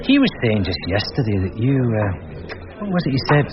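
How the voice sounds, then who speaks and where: An actor playing a blunt, defensive police sergeant who likes a drink, in a radio crime drama. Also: male voice, mid-range voice, sing-song, moderate pace, close to an everyday voice. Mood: matey. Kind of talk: British radio drama acting. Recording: muffled radio recording with crowd chatter.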